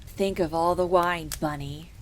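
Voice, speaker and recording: A woman voicing a suave, commanding male vampire master in an audio drama, speaking in a measured, formal, indulgent manner. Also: lowish voice, steady tone, voice pushed down, lightly acted. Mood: musing, assured. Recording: faint crackling fire noise behind voice.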